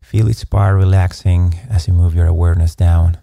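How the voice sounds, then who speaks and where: Very dark, low-toned male meditation guide. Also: vocal fry, no echo, clean studio sound. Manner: slow, calm, measured instruction with soft pacing.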